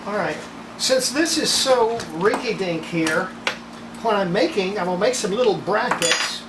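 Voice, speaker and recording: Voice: mid-range voice, a little slow, roomy sound, background noise. An older person narrating hands-on repair work in a casual, folksy way, often muttering to themselves in a small workshop. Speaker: radio restorer presenting own repair. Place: small cluttered indoor electronics workshop.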